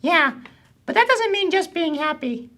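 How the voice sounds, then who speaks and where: Woman as high-pitched young boy puppet, Bobby. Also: lively intonation, a little slow, sharp tone, heavily acted. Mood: upbeat. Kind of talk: children's puppet show dialogue.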